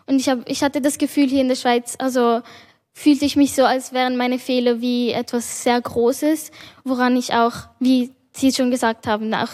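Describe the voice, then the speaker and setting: A woman's voice: high voice, flat delivery, normal speed, a little mellow. Hesitant young woman, native Italian speaker. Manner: hesitant, many fillers. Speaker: audience member. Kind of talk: panel discussion comment. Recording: reverberant hall.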